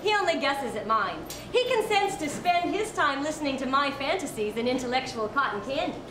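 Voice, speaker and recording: A woman reading a literary piece aloud in an expressive recital style. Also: sits high. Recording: echoey, distant mic, clear background noise.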